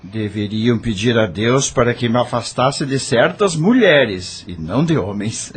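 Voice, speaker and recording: A man playing a grieving, embittered widower in a melodramatic audio novel, heartfelt and husky. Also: medium pitch, expressive, measured pace. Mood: bitter. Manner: emotional, heartfelt. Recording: music behind the voice.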